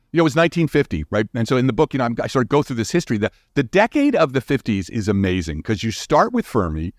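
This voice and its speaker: Male interview guest, scientist type. Lively, varied pitch, conversational explaining with restarts, dry studio-like sound. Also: no echo. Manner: animated, informal, halting restarts with frequent 'you know'.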